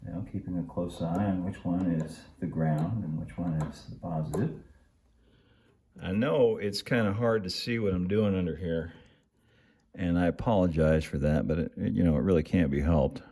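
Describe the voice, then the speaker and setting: Low-pitched middle-aged man, hobby host. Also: moderate pace, mellow. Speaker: host of a model railway channel. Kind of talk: casual hands-on workshop tutorial. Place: indoor hobby workshop.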